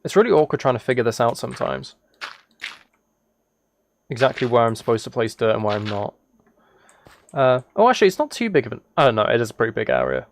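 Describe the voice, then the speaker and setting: A man chatting casually as a live game streamer, musing aloud in a relaxed, low-key way. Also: quick pace, gravelly, long pauses. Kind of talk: casual chat over Minecraft gameplay.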